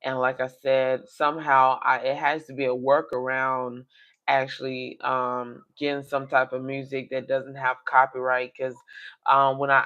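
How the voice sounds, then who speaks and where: A slightly raspy man hosts a casual livestream, rambling on in a flat, even tone. He speaks straight to his viewers. The sound is dull and lo-fi. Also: medium pitch. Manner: rambling, talks directly to viewers. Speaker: coin collecting livestream host.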